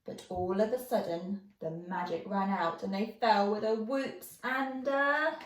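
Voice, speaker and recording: A woman storyteller reading a rhyming children's tale in an expressive storybook style. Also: medium pitch, a little slow. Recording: roomy, echoey, distant mic.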